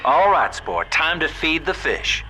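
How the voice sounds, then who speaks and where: A man's voice: a little high, very animated, measured pace. A man playing a sly, folksy businessman guide, slangy and avuncular, in a video game. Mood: breezy, businesslike. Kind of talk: video game character dialogue. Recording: clear noise behind the voice.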